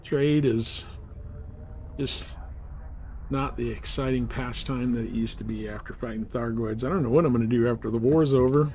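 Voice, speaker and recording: A man live-streams a game in a mid-pitched voice, talking casually with a wry, joking streak. There is some light game ambience behind him. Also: moderate pace, slight background noise. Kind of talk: casual live-stream chat while playing.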